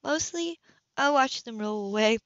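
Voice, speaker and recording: A woman voicing a warm, playful, practical young woman in an audiobook, with a light, teasing delivery. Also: fairly high pitch, lively intonation, a little slow, no room sound, highs cut off. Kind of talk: audiobook character voice.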